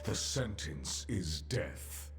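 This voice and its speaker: An actor playing a stern, imperious judge in a video game, speaking formally and solemnly, as if pronouncing sentence. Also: male voice, deep voice, big pitch swings, drawn-out words, over music, heavily acted. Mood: grave judgment. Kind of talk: dramatic video game voice acting.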